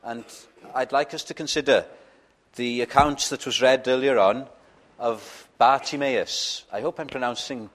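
A man whose first language is Welsh, preaching a sermon in a church in a slow, earnest way with frequent hesitations. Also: medium pitch, a bit bright.

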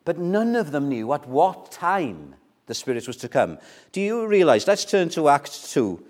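A man's voice: a little high, very animated, measured pace. An older man from Wales, a Welsh speaker, preaching a sermon in a conversational way with rhetorical questions and repeated words. His voice swells and then drops almost to a whisper. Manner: loudness rises and falls widely, dropping to near whispers. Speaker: pastor.

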